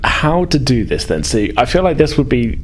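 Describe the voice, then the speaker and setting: A man's voice: medium pitch, sing-song, moderate pace. A man speaking as game master of a tabletop game, casually narrating and thinking aloud in a hesitant way. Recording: clearly noisy background.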